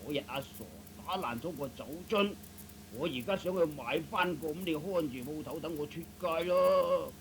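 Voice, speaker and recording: A man playing a gruff, impatient middle-aged shop boss with a creaky voice in a Cantonese comic radio play. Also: a little high, normal speed. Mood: explaining, grumbling. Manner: grumbling, blunt, ordering people about. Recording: noisy old radio recording.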